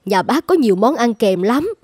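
A woman voicing a motherly older eatery owner in a cartoon, caring and gently insistent. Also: on the high side, very animated, moderate pace, breathy edge, no echo, clean studio sound. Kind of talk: animated moral-tale dubbing.

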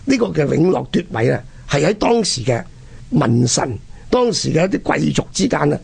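Man, Hong Kong Cantonese accent, raspy and creaky, lively swooping delivery, dramatic history storyteller. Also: a little high, normal speed. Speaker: radio history programme presenter. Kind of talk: animated conversational history storytelling.

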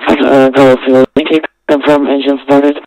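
A man with a medium-pitched voice works as an air traffic controller. He gives clearances and instructions in standard radio phraseology. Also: monotone, unhurried, darkish tone, no echo, rough recording.

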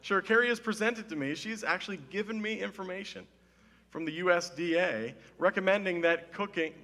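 A slightly hoarse man in his thirties preaches in a loose, joking way, putting on mock-serious drama. His tone is bright and forceful. A long reverb from the hall trails his words. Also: high voice, brisk. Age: 30s. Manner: playful, self-mocking, mock-serious. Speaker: pastor. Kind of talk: casual humorous sermon. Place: church stage with wood-panelled wall. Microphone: headset mic.

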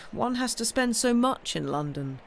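A man voicing a sheepish young nephew in a storybook reading, meek, halting and apologetic. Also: sits high, animated, a little hiss or hum, voice pushed up. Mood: flustered, afraid. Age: young adult. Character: sheepish, apologetic young nephew, cornered about his debts.

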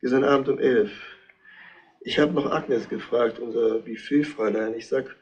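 An actor playing a distraught, jealous innkeeper in a retro radio crime play, speaking haltingly and repeating himself. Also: male voice, medium pitch, fairly even intonation, darkish tone, breathy edge, lightly acted. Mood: grieving. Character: distraught, jealous innkeeper husband of murdered wife.

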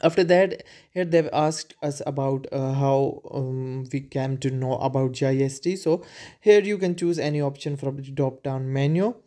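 Hesitant man, mellow voice, many fillers. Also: medium pitch, moderate pace. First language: Urdu. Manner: hesitant, frequent filler sounds. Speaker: tutorial presenter. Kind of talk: screen-recorded walkthrough.

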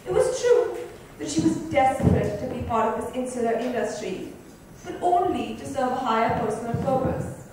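Very echoey, high-voiced woman narrating. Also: animated, moderate pace. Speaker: reader in a dramatic book reading. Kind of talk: literary narration read aloud. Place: club lounge, indoors. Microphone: far microphone. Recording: distant mic, very echoey room, faint noise.

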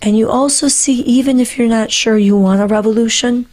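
A woman's voice: a little high, fairly quick, darkish tone. A middle-aged woman hosting a political panel show, speaking with earnest, emphatic conviction and repeating phrases for stress, close on a studio microphone. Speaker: show host. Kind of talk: impassioned political panel commentary. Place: small book-lined studio, indoors. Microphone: boom-arm podcast microphone, close.

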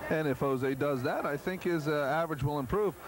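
An American man commentates live from inside a bowling alley. His voice sits on the high side and he teases and jokes between calls. The 1990s cable TV audio has plenty of noise behind him. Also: fairly quick. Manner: joking, teasing. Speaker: bowling show commentator and host. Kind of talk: live sports commentary with bantering chat. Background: clear bowling alley noise.

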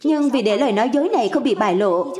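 A woman's voice: on the high side, expressive, fast talker, lightly acted. Woman playing a patient, caring cartoon mother. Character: caring young mother, patient and gently instructive. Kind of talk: animated cartoon dub. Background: background music.

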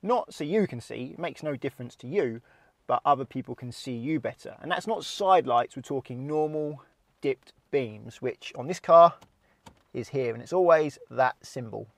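A man's voice: on the high side, a little mellow, a little hiss or hum. A man in his thirties with a British accent, explaining things step by step as a driving instructor in plain, informal words. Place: inside a parked car. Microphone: lapel mic.

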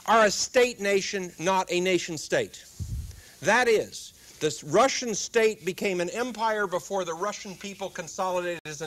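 A middle-aged man with a dry, wry humor, giving a public lecture at a desk microphone in a lecture room. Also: sits high. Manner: dry wry humor, quotes with comic timing. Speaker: guest speaker.

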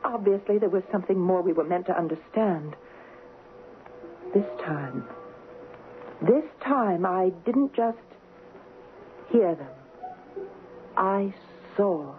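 Young romantic fiancée, lively swooping delivery, dramatic pauses, radio drama, muffled narrow recording. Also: female voice, mid-range voice. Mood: hushed, intense. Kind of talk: 1970s radio drama acting. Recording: muffled narrow-band old radio with noise.

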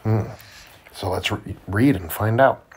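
Slow, low-voiced man reading a storybook. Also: a little mellow, breathy edge. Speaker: father reading storybook aloud to his child. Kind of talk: children's read-aloud narration.

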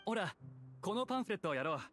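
A male inmate recruiter from an anime game. He is direct and inviting, with animated intonation, over faint music. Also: sits high, fast. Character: brisk male recruiter for an inmates' aid group.

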